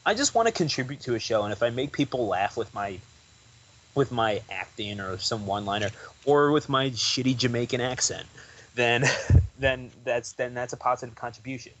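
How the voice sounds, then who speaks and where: A man's voice: medium pitch, normal speed, a bit bright, poor audio. Rambling young man, casual chat. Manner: rambling, full of "like" and restarts. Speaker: podcast guest.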